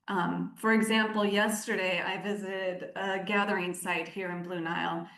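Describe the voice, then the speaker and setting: A woman giving measured, articulate explanations as an aid director interviewed on the radio. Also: on the low side, fairly bright tone. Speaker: aid organisation country director, interview guest. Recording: roomy, echoing sound.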